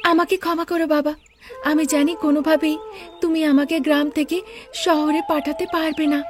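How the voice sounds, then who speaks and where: A woman's voice: sits high, flat delivery, moderate pace, music in the background, pitched above the performer's own voice, natural voice. A woman voicing a naive, stubborn young village bride in a cartoon, earnest, emphatic and pleading. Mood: tearful resolve.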